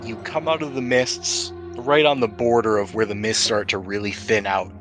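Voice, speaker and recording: Low-voiced man narrating a tabletop game. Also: steady tone, normal speed, a bit bright. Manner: descriptive, improvised scene-setting. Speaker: game master. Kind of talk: narrating a tabletop role-playing game, conversational. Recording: music playing behind the voice.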